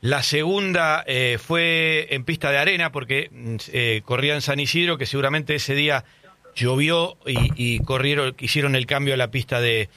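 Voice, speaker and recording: A man hosting a conversational interview, asking questions in a halting, self-correcting way. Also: mid-range voice, bright, forceful tone, no echo. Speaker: interviewer, horse racing show host.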